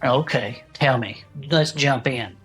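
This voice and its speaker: An actor voicing a folksy, easily spooked cryptid club podcaster in a comedy audio drama, full of astonished, drawn-out reactions. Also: medium pitch, fairly bright tone, faint music behind. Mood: amused, intrigued. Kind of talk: podcast comedy banter, in character.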